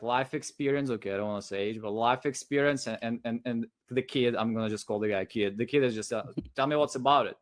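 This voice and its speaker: A man who speaks English as a second language, giving unscripted sales advice in a rambling, repetitive, emphatic way. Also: medium pitch. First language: non-English first language.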